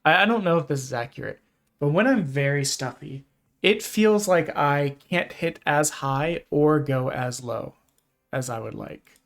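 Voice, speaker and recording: A man streaming a video game, stuffy-nosed and chatting in a relaxed, rambling, self-deprecating way. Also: on the high side, measured pace, creaky. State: stuffy, congested nose. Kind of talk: casual live-stream chat while gaming.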